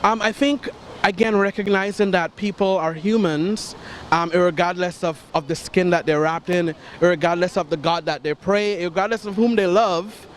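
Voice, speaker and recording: A man with a high voice answers slowly and reflectively, pausing on ums. Faint street noise sits behind him. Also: fairly even intonation. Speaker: interviewee. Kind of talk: thoughtful street interview answer.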